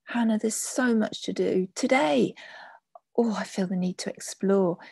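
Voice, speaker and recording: A woman voicing an excited, exuberant young Roman mistress with breathy, eager exclamations in a storybook reading. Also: fairly low pitch, normal speed, dry recording, studio quality. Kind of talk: audiobook character voice.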